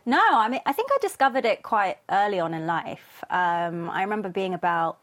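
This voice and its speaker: A woman in her thirties from London with a British accent, a singer chatting as a radio guest, soft-spoken and quick to laugh. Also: mid-range voice, expressive. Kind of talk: casual radio interview chat.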